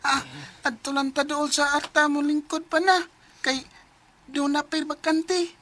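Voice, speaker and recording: A woman's voice: high voice, fairly even intonation, unhurried, fairly bright tone. A woman playing a pleading young child in a radio drama. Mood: eager, caring.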